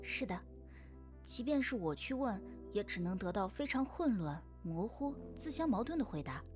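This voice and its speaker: Woman doing a cute shy girl in a visual novel, earnest, music clearly behind her. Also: mid-range voice, big pitch swings, moderate pace, natural voice. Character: shy, cute girl who relays a statue's messages. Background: game music.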